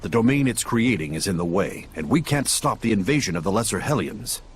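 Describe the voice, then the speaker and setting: Deep-voiced brooding man, acted by a man, reserved, terse, gruffly concerned, game cutscene, faint background noise. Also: lively intonation, brisk, natural voice. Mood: grave. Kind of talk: animated game cutscene acting.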